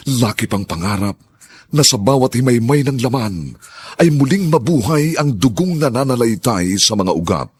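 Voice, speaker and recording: Husky, low-voiced man with sweeping dramatic delivery. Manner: dramatic, drawn-out emphatic phrasing. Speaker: radio drama announcer and narrator. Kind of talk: solemn, theatrical scripted narration.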